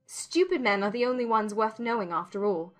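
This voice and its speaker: A woman voicing a witty, defiant young gentlewoman in a dramatized classic novel, speaking in arch, formal, exclamatory phrases. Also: fairly high pitch, fairly quick, natural voice. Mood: exasperated, sardonic.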